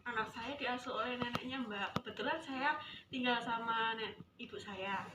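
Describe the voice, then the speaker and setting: A woman's voice: a little high, normal speed, lightly acted. A woman playing a polite, puzzled working mother aged twenty-nine in a rehearsed counselling role-play. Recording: echoey room with clear background noise.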